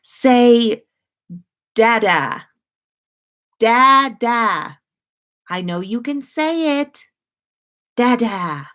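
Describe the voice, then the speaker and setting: A woman's voice: a little high, lively intonation, drawn-out words, long pauses, fairly bright tone, voice pushed up, full character voice. A woman voicing a proud young wizard father, fond and dryly mock-stern, in a story read aloud. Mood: coaxing.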